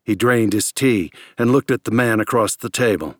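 A man's voice: lowish voice, moderate pace, no echo. A man reading a novel aloud as scripted dramatic narration in a close studio recording. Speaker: audiobook narrator.

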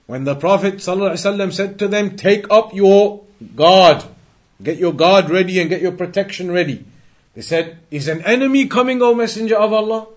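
A man giving an Islamic lesson as a religious teacher, explaining emphatically and repeating and rephrasing his points. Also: high voice. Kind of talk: Islamic lesson with recited Arabic quotations and English explanation.

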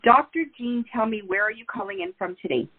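A woman's voice: medium pitch, brisk, husky. A woman hosting an interview who agrees readily and keeps the chat casual. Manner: warmly agreeable, affirming. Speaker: podcast host. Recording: tinny phone-like sound.

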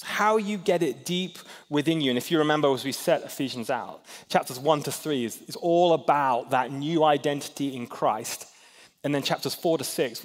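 Chatty man preaching in a big hall. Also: a little high. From Hong Kong. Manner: chatty, self-deprecating, asks the crowd questions. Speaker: pastor. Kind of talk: sermon. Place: large church hall. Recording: long hall reverb.

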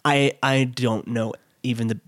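A man in his late twenties talking as an interview guest, thoughtful and halting, often restarting. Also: mid-range voice, fairly even intonation, no echo, studio quality. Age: late 20s. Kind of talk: casual interview chat.

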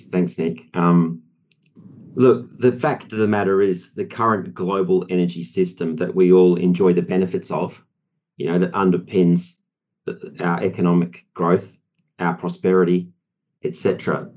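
A man's voice: low voice, flat delivery, moderate pace, a little mellow, muffled recording. A man in his forties with an Australian accent, a guest on a podcast, talking with many ums and you-knows. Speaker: law firm partner, guest. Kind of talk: podcast discussion.

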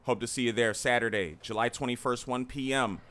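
A man hosting a casual radio chat show, upbeat and friendly, giving shout-outs. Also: medium pitch, steady tone, brisk, a little hiss or hum.

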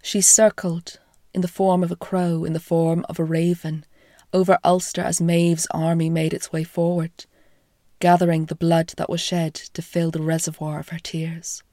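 A woman with a croaky voice from a chest infection, hosting a podcast and retelling an old myth in a slow, hushed, half-whispered way. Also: fairly low pitch, flat delivery, no echo, clean studio sound. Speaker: podcast host and storyteller.

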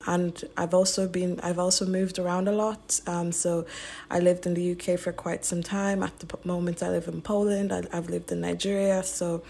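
A woman's voice: fairly low pitch, flat delivery, moderate pace, gravelly, dark tone. A woman guest on a podcast, speaking reflectively and a little hesitantly. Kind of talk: casual discussion.

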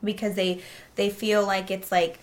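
A young woman from Japan talks as a guest in a casual conversation. Her voice sits at a middle pitch. She is animated, slipping into imitations of people when she quotes them. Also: unhurried. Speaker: interview guest.

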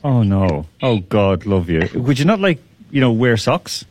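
A man hosting a radio quiz, teasing contestants, laughing often and repeating questions slowly. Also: fairly low pitch, sing-song, darkish tone, no room sound. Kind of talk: lively radio quiz banter.

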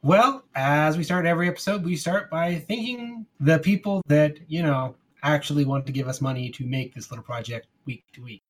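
A man's voice: a little high, a little fast, hardly any pauses, fairly bright tone. A man co-hosting a podcast in a casual, conversational style. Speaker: podcast co-host. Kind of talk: casual chat.

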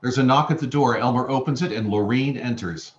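A man's voice: medium pitch, brisk. A man speaking at a play reading, giving stage directions and discussing the play. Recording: dull, lo-fi sound, faint noise.

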